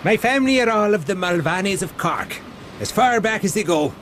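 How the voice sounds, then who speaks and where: Radio drama: Irish police inspector, talkative, joking, swooping intonation, clear ambient noise. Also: male voice, sits high, animated, fairly quick, a bit bright. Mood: chatty, welcoming. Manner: jovial, wry, lilting and talkative. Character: genial, chatty Irish police inspector, fond of a joke. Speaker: actor.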